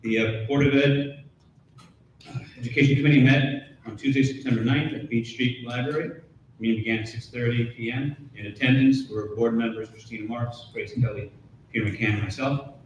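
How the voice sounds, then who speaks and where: A man's voice: normal speed, lo-fi sound. Man reading a report in an echoey hall. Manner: steady, matter-of-fact, occasional uhs. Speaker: board member, committee chair. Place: school board meeting room. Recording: echoey room from far off, faint rumble.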